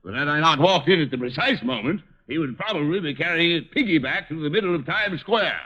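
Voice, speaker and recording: A man's voice: a little high, lively intonation, fairly quick, bright, forceful tone. An actor playing a pompous, indignant male antique collector with florid speech in an old-time radio detective drama. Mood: haughty outrage. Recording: muffled vintage radio recording.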